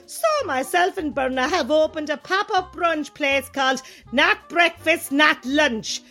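An actress as a gossipy, put-upon County Cork woman in a comedy sketch. Her voice is high and sharp, full of catty exasperation, over faint music. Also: a little slow, full character voice. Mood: catty, exasperated. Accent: Irish, County Cork. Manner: griping, catty. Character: exasperated, gossipy small-town Irish woman with airs. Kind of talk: scripted comedy monologue.

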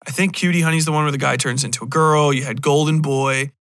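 A man's voice: a little high, steady tone, quick pace, fairly bright tone. An American man in his thirties rambling casually on a podcast, slangy, profane and opinionated. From Cincinnati, USA. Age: 30s. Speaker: podcast host.

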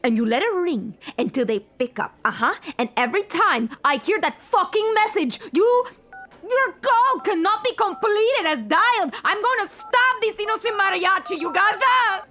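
An actress playing a volatile, theatrical TV producer in an audio drama, with an emphatic, profane, menacing way of talking. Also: female voice, very high voice, expressive, moderate pace, bright, forceful tone, heavily acted. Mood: menacing, frantic. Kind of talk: audio fiction acting.

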